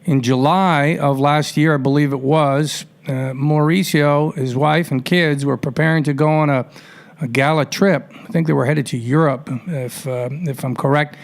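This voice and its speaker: A man's voice: a little high, steady tone, moderate pace, gravelly. A man hosting a radio interview, chatty and colloquial as he sets up questions for a guest. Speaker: radio show host, interviewer.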